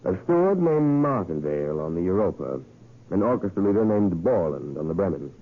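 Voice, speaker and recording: A man's voice: sits low, sing-song, brisk, heavily acted. An actor playing a businesslike male intelligence contact in a 1940s radio drama, matter-of-fact and clipped. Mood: businesslike, urgent. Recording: tinny, muffled vintage radio broadcast.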